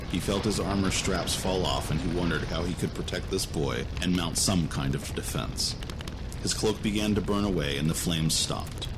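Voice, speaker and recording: A man reading scripted narration for a fantasy adventure story. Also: sits low. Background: music.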